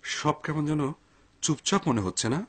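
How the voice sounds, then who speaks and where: A man playing a cautious, pious father in a dubbed cartoon, grave, measured and admonishing. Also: on the high side, lively intonation, a little hiss or hum, natural voice. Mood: wary.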